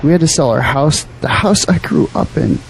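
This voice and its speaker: A man's voice: on the high side, lively intonation, moderate pace, creaky, slight background noise, low-quality recording. An actor playing an earnest, desperate young man, pleading politely in an audio drama. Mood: anxious pleading. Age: young adult.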